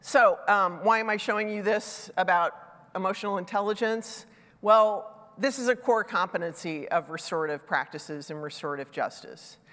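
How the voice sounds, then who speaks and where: A woman's voice: medium pitch, moderate pace, fairly bright tone. A middle-aged woman giving a conversational conference talk on stage with a headset mic, a little congested, adding self-deprecating asides. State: congested from a sinus infection. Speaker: conference speaker. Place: large dark stage hall. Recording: reverberant conference hall.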